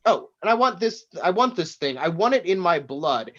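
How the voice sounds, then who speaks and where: Man acting brash tech boss, high animated voice, impatient, sarcastic, table read. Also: normal speed, highs cut off, heavily acted. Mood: demanding, irritated. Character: brash, demanding, foul-mouthed young tech tycoon named Barry.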